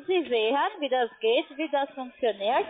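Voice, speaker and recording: High-voiced foreign woman on a tinny phone. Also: moderate pace. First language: not German. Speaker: call centre trading agent. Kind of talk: sales explanation by phone. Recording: tinny phone line, faint noise.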